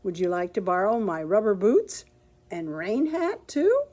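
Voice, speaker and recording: A woman's voice: mid-range voice, wildly swooping pitch, unhurried. A woman reading a picture book aloud and voicing a cheerful, literal-minded housekeeper in an earnest, emphatic way. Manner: bright, earnest, emphatic read-aloud delivery.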